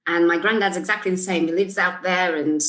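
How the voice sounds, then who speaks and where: A woman's voice: lowish voice, fairly even intonation, fairly quick, a bit bright. A woman in her forties from Portugal, a guest on an interview podcast, thinking aloud and laughing often. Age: 40s. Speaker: guest, solicitor. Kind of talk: casual interview chat.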